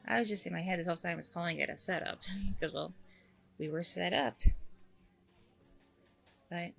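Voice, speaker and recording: A woman's voice: on the low side, moderate pace, vocal fry, fairly bright tone, full character voice. A woman playing a friendly, nervous single mom on a blind date in an ASMR roleplay, rambling casually in a bubbly way. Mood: shy, friendly. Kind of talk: ASMR audio roleplay, conversational.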